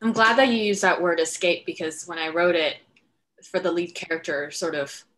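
A woman's voice: on the low side, steady tone, moderate pace, fairly bright tone, rough recording. A middle-aged woman speaking casually and unscripted as a writer-director hosting a question and answer session on a video call. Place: indoor room at home, on a video call. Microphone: headset on a video call.

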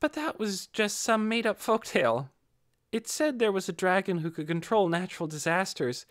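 A man voicing a quick-tongued, chatty young adventurer in a fantasy audiobook. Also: sits high, lively intonation, a bit bright, no echo, studio quality, pitched above the performer's own voice. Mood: earnest explaining.

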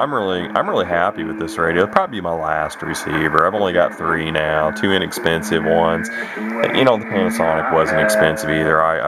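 Low-voiced man over loud radio noise. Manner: rambling, hesitant, thinking aloud. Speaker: radio hobbyist presenter. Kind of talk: casual unscripted demonstration chat. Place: indoor room at home beside radios. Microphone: handheld camera mic, speaker behind camera. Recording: noisy handheld camera, loud radio static. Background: shortwave radio hiss and broadcast.

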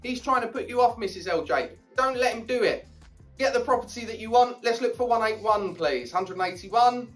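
A brisk English auctioneer in his thirties calls out bids and urges buyers on, his voice pitched fairly high. He speaks from a podium mic in a small auction room and sounds a little distant from the microphone. Faint music plays underneath. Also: expressive. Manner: brisk, upbeat, encouraging bidders. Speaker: property auctioneer. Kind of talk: live auction calling. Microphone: podium mic, filmed from a distance.

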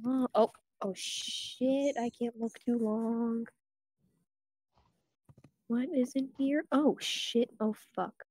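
A woman's voice: fairly high pitch, steady tone, slow, pauses often, a little mellow, no echo. A woman voicing an anxious, foul-mouthed jester losing her mind in a comic cartoon fandub, repeating herself as she mutters. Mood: bewildered. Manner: swearing, repetitive, muttering to herself.

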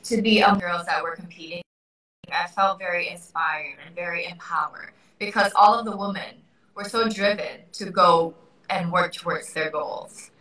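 A bright, earnest young woman from Thailand answers interview questions on a video call. Her voice sits in the middle range and carries clearly. The room around her is very echoey, and she is a little distant from the microphone. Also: on the low side, moderate pace. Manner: earnest, upbeat, laughs often. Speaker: guest. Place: indoor room by a window. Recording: echoey room, far from the mic.

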